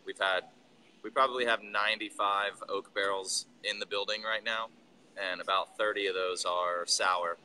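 A man in his thirties, a brewery owner, talking casually and haltingly on a video call from inside his brewery. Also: on the low side, a little hiss or hum, low-quality recording. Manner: relaxed, halting, with restarts. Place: brewery building, indoors. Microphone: video call, phone or laptop camera.

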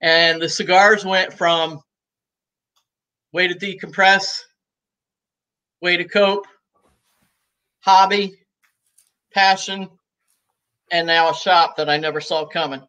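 A woman in her sixties, talking as a guest on a video call from home, chatty and easygoing and quick to laugh. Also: fairly low pitch, steady tone, pushed and bright. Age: 60s. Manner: talkative and easygoing, laughs often. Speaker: guest, cigar shop owner. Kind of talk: casual interview chat. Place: room at home.

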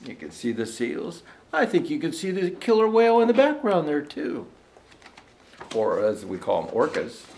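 An older man playing a jolly old Santa Claus, gently reading a rhyming storybook aloud with chatty asides. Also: sits high, heavily acted. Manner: gentle storyteller, showing pictures to listeners. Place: indoor room set with fireplace and tree. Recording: echoey room.

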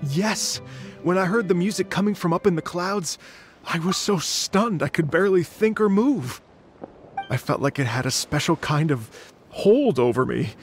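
A man's voice: sits high, lively intonation, moderate pace, faint music behind. A man voicing a flamboyant stage performer in a video game, theatrical and gushing with thanks. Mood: effusive. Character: flamboyant male stage performer, grandly effusive.

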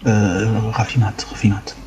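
A man playing a brisk, dismissive adventurer in a tabletop game. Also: on the low side, level tone, natural voice. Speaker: player. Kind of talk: in-character tabletop roleplay. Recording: music behind the voice.

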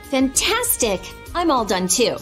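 A woman playing a brisk, assertive young woman in a fantasy game. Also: sits high, very animated, fairly bright tone, faint music behind, heavily acted. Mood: brisk, impatient. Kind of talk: video game voice acting.

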